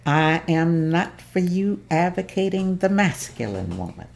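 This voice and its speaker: A woman reading the part of a determined community leader in an amateur table read, earnest and steady. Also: fairly low pitch, measured pace, vocal fry, full character voice. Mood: rallying.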